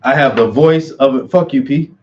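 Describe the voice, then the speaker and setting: A man's voice: mid-range voice, normal speed, dull audio, slight background noise. A man talking casually to himself while he works on a craft project, muttering, humming and breaking into song. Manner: mutters, hums and sings along while working. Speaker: artist and channel host.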